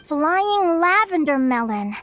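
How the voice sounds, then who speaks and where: A woman voicing a small, bossy, excitable female companion creature in a game, squeaky and indignant, speaking of herself in the third person. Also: takes it slowly, exaggerated voice. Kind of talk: game cutscene voice acting. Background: game music.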